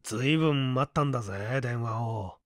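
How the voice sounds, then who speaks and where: A man's voice: medium pitch, fairly quick, no echo, clean studio sound, lightly acted. A man playing a male office section chief in an audiobook, speaking in a familiar, casual way. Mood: questioning. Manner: familiar, questioning.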